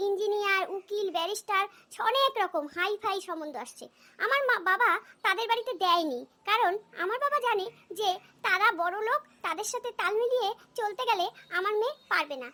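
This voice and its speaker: Woman doing a really high pitched young cartoon wife, huffy, defensive, feels picked on. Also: pushed and bright, exaggerated voice. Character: indignant, self-pitying, boastful young daughter-in-law. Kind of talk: cartoon family comedy dialogue.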